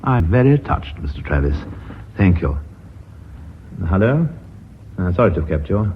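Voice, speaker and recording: Low-voiced, dignified titled gentleman. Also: very animated, moderate pace. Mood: grave, courteous. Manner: formal, courteous. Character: courteous, dignified titled businessman with an ailing wife. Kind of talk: radio drama acting. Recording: dull radio recording, faint hiss.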